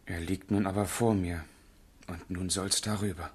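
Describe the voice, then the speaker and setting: A man's voice: deep voice, fairly even intonation, normal speed, husky, no echo. An actor playing a passionate, melancholy young man in love, speaking earnest literary German radio drama lines. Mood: subdued, brooding. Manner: earnest, literary, brooding, emotional.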